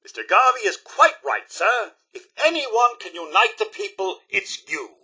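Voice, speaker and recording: A man's voice: sits high, big pitch swings, moderate pace, pushed and bright, husky, heavily acted. A man voicing a prim, fussy, loyal robot butler in a video game, with a British accent and a formal, theatrical, moralizing manner. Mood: earnest, diplomatic. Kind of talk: scripted game dialogue.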